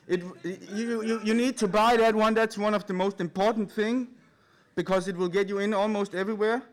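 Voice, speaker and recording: Danish guy doing a casual stage talk, kinda high voice, nervous and hesitant, giggles a bit. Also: fairly even intonation, fairly quick. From Denmark. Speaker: speaker at a live event. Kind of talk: informal anecdotal stage talk. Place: in front of a large live audience.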